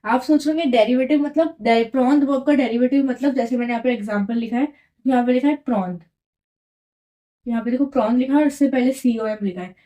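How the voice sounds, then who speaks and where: A woman's voice: a little high, fast, dark tone, rough recording. A young woman teaching French grammar, patiently repeating each rule and checking in with "okay" as she writes on a board. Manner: patient, repeats points with frequent "ठीक है" check-ins. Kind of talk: explaining grammar at a whiteboard.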